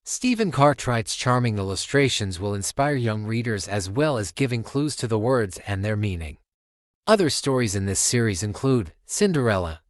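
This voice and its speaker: A man's voice: mid-range voice, no echo, clean studio sound. A man hosting a storytime channel, reading a children's picture book aloud as narrator.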